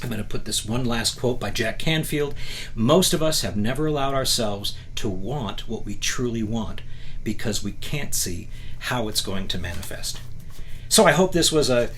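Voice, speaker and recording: A man's voice: mid-range voice, animated, normal speed, fairly bright tone. A man giving a motivational talk, reading from a book and commenting on it, emphatic and often checking in with rhetorical questions. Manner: rhetorical questions and frequent "right?" check-ins. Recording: clear background noise.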